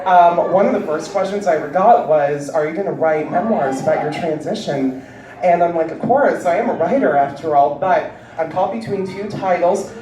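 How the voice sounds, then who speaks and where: A woman with a deep voice doing a stand-up comedy set with a wry, deadpan delivery, heard on stage before a live audience. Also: normal speed. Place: large indoor venue with live audience. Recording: echoey hall recorded from far off, loud crowd. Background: audience cheering and laughing.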